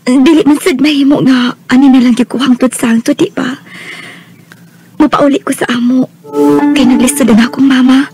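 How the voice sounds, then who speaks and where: An actress plays a gentle young working woman in a Bisaya radio drama, her voice high and soft-spoken. She has a Visayan Filipino accent and a tactful manner. Faint music plays behind her. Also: steady tone, moderate pace, long pauses, natural voice. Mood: gentle, apologetic.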